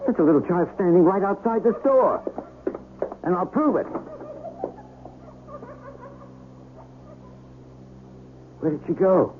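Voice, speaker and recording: An actor playing a rattled, haunted traveler in a 1970s radio drama, talkative and earnest, with a hesitant stammer. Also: male voice, sits high, expressive, normal speed. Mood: agitated, insistent. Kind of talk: 1970s radio drama acting with first-person narration. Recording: tinny, hissy 1970s radio broadcast.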